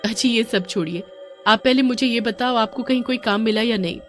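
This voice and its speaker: A spirited young wife, played by a woman, talks back in a lively, sharp-tongued way, her voice a bit higher than usual. Faint cartoon music plays underneath. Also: normal speed, natural voice. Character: bold young wife, later a ghost.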